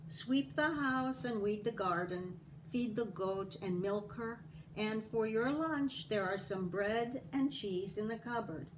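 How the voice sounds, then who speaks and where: A woman's voice: on the high side, takes it slowly, slight background noise, rough recording. A woman voicing a kindly but firm old village witch in a storybook, giving emphatic instructions. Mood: firm, instructing. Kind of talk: storybook character voice.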